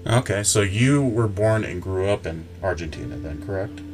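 A man hosting a podcast, introducing his guest and asking questions in a measured, emphatic way. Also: fairly low pitch, lively intonation, darkish tone, soft background music. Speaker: podcast host. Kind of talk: casual podcast interview.

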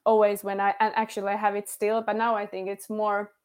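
A woman's voice: medium pitch, completely flat delivery, fairly quick, darkish tone, clear, resonant tone. A woman in her thirties with a Finnish accent, a podcast guest who speaks openly, laughs often and leans on "like". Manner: laughs often, candid, says "like" a lot. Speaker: podcast guest, yoga teacher. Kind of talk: casual interview chat.

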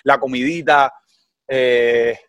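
A young Cuban man with a Cuban accent chatting as a guest in an interview, animated and full of fillers like "you know.". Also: mid-range voice, slow, no echo, lo-fi sound. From Cuba. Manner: animated, heavy fillers like "tú sabes" and "¿me entiendes?". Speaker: guest singer. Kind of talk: casual interview chat.

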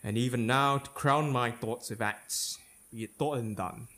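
A man's voice: medium pitch, unhurried. A man acting a Shakespearean king, ambitious, fearful and vengeful, in a theatrical, declamatory dramatic reading. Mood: grimly resolved. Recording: loud ominous music behind the voice. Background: ominous music.

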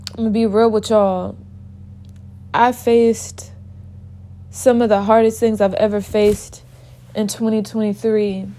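A woman's voice: medium pitch, warm tone. A woman hosting a spiritual podcast, talking candidly and informally to her listeners while a little sick and sniffly. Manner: candid, informal, talks straight to listeners as y'all. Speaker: podcast host.

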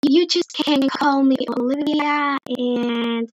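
A woman from Switzerland, not a native English speaker, leaving a slow, hesitant voice message for a podcast host. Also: high voice, steady tone, no echo. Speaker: listener sending a voice message. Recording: glitchy voice message.

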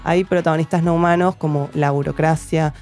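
Lady chatting books, hesitant, lots of restarts, soft music under. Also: low-pitched, fairly even intonation, fairly quick. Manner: thoughtful, hesitant, many restarts and tag questions. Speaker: podcast co-host reviewing books. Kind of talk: casual book chat.

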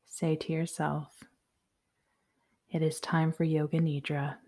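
A woman guiding a yoga nidra meditation in a soothing, measured, softly spoken way. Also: low voice, flat delivery. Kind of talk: guided meditation, slow instructions.

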